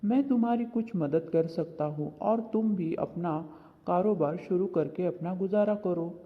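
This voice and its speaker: A man's voice: high voice, moderate pace, natural voice. A man playing a kind, hardworking poor village husband and father in a cartoon moral story. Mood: kindly, earnest. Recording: dull, lo-fi sound with long reverb.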